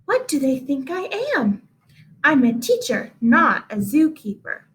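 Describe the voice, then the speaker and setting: A woman's voice: high voice, animated, measured pace, background noise. A woman voicing a nice but flustered schoolteacher in a storybook, exclaiming emphatically. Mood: incredulous.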